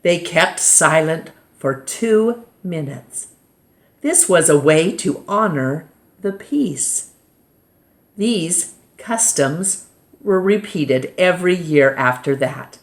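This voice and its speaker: Low-voiced American woman reading slowly aloud. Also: expressive. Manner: deliberate, simple phrasing with pauses between short phrases. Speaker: storytime reader, teacher. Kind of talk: read-aloud of a children's nonfiction book.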